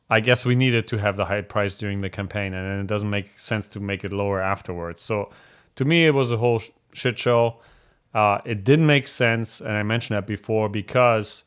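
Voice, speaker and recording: A man's voice: fairly low pitch, a bit bright. A man from Germany hosting a casual live question-and-answer chat, speaking in a candid, blunt and rambling way. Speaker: host answering viewer questions.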